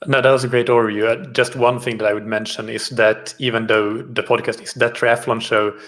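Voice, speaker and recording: A man in his thirties from Finland whose first language is Swedish, a triathlon coach speaking in a measured, relaxed way as an interview guest over a video call. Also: medium pitch. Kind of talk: casual interview chat.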